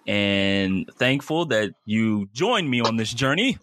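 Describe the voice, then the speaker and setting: A man's voice: fairly low pitch, big pitch swings, takes it slowly, a bit bright. A man co-hosting a casual sports podcast, talking in an easygoing, friendly way. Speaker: podcast co-host.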